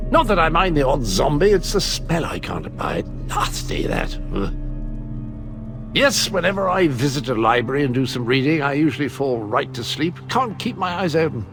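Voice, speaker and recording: A quirky game hero with a very high voice for a male. He chats flippantly and pompously, with very lively intonation. Music plays behind him. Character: breezy, quirky male self-styled hero adventurer. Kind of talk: comic video game acting.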